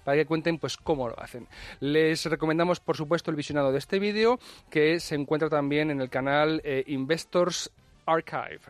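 A man co-hosts a radio show, rambling through recommendations with hesitant asides and jokes. His voice sits a touch high. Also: no room sound. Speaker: radio co-host. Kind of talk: radio chat, giving recommendations.

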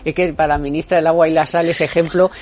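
A woman's voice: low voice, little pitch movement, quick pace, darkish tone, a little hiss or hum. A woman hosting a radio talk show from a studio, talking in an emphatic, colloquial and sarcastic way.

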